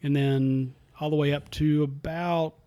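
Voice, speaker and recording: A man's voice: on the high side, flat delivery, a little slow, dark tone, clear, resonant tone. A man, telling easygoing stories with dry humor as a podcast co-host. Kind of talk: casual chat.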